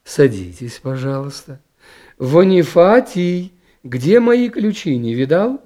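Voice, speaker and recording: Blunt, bossy older princess performed by a male reader, literary audiobook, soft-edged tone, prying delivery. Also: on the high side, sing-song, slow pace, pitched above the performer's own voice. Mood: brusque. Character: blunt, unkempt princess of about fifty.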